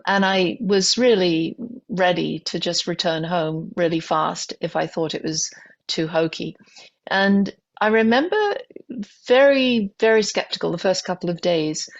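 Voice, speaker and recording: Older british lady doc telling her story, lots of ums, lowish voice, kinda muffled audio. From Britain. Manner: thoughtful, many ums and restarts. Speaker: physician guest. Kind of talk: conversational interview, reflective storytelling.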